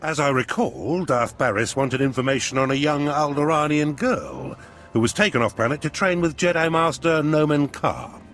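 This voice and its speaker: An actor playing a haughty, scheming Sith duke in a video game, with a pompous, formal, slippery manner. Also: male voice, a little high, moderate pace, fairly bright tone, soft background music, close to an everyday voice. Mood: ingratiating. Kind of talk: video game cutscene acting.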